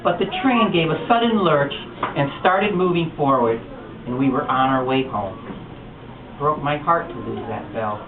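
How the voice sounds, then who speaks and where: A man reading a picture book aloud at a bookstore storytime, telling it with expressive animation. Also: a little high, measured pace. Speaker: storytime reader. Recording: distant echoey lo-fi room recording with noise.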